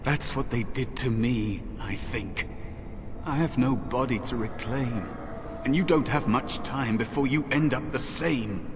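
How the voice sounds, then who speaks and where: An actor playing a wary, bitter spirit of a dead apprentice in a fantasy video game, speaking in a hushed, deliberate, weary way. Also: male voice, medium pitch, natural voice. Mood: grim, resigned. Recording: long reverb, loud background noise.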